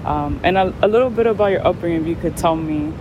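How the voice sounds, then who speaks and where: A woman's voice: lowish voice, quick pace, background noise. A woman hosting an interview, earnest and effusive in her thanks to her guest. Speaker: host. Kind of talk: interview host.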